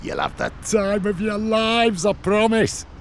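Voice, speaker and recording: A man's voice: sits high, steady tone, a little slow, slight background noise. A man playing a hearty, proud but good-natured village headman in a video game, with a declamatory delivery. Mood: warm, proud.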